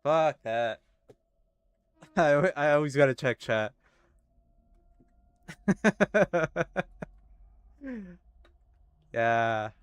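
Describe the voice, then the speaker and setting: A man's voice: a little high, animated, extremely slow, pauses often, smooth, no room sound. A man in his thirties, streaming a game and chatting casually with his viewers, laughing and swearing easily. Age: 30s. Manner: laid-back, swears and laughs easily, talks to chat. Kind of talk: casual live-stream chat while gaming. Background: faint music.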